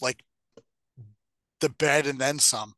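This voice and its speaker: A man's voice: mid-range voice, slow, bright, forceful tone, dry recording, studio quality. A man co-hosting a podcast, chatting casually in an informal, wry way. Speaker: podcast co-host.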